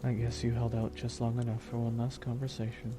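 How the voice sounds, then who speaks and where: A man playing a brooding, grieving supervillain in a dramatic ASMR roleplay, speaking solemnly and haltingly with weighty pauses. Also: mid-range voice, monotone, close to an everyday voice. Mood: solemn, tender. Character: brooding, grieving male supervillain saying farewell to his nemesis. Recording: loud music and rain behind. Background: music and falling rain.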